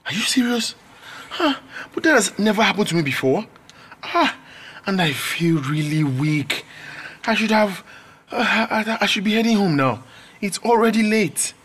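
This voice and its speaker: Groggy Nigerian student, noisy background. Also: male voice, high-pitched, expressive, normal speed, fairly bright tone. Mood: groggy, worried. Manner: hesitant, plaintive. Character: weak, groggy, timid young male student. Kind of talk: radio drama acting.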